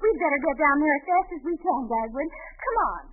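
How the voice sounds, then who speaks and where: On a tinny, narrow 1940s radio recording, a sensible young sitcom wife. Her high voice is patient and wifely, questioning with gentle exasperation. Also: lively intonation, fast talker, background noise. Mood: worried. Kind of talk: 1940s radio situation comedy acting.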